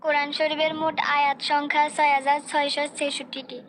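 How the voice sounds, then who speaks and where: A woman's voice: sits high, flat delivery, fairly quick, fairly bright tone, slight background noise. A woman with a Noakhali Bengali accent voicing a dignified, outspoken young bride-to-be in a comic cartoon. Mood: polite. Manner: polite but firm.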